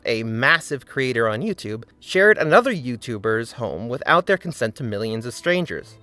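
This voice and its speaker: A man's voice: medium pitch, fairly bright tone. A man narrating a scripted video essay, with earnest, critical commentary.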